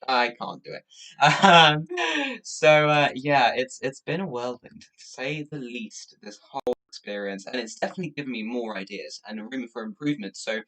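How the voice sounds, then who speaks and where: A young man from Latvia, a native Russian speaker, chatting casually as a livestream host in a rambling, halting way. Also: medium pitch, pushed and bright, poor audio. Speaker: livestream host and youth charity campaigner. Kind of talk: casual livestream chat, thanking viewers.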